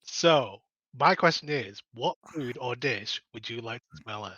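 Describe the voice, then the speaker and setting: A male caller chats casually to a podcast. His medium-pitched voice comes through a dull, dry online call. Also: animated, unhurried, bright, forceful tone, slightly rough, no room sound. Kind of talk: casual chat.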